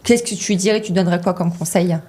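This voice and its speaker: A woman hosting an interview, prompting her guest with quick, chatty questions and reactions. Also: lowish voice, mellow. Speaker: interview host. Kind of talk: casual interview.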